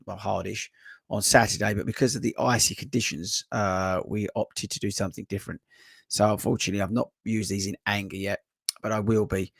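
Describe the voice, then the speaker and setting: Hoarse running coach rambling through a live stream, lots of ums, dry close mic. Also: male voice, on the low side, no room sound. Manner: chatty, rambling with many fillers. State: hoarse, voice just coming back. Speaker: running coach and podcast host. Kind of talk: casual live-stream chat. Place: home desk.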